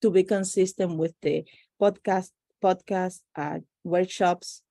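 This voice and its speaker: Middle-aged female podcast guest, Dominican Spanish accent, slow and halting, with plenty of vocal fry. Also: fairly low pitch, fairly even intonation, dark tone, no room sound, studio quality. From Dominican Republic. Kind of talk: casual interview answers.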